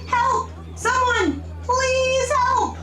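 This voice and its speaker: A woman's voice: very high-pitched, fairly even intonation, drawn-out words, bright, forceful tone, roomy sound, voice pushed up, heavily acted. A woman voicing an urgent, pleading young woman in distress, exasperated and earnest, in a comic book read-aloud. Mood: panicked.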